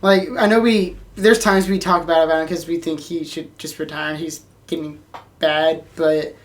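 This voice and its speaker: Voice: mid-range voice, steady tone, normal speed. A podcast co-host chatting casually and informally with friends. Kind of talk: casual chat.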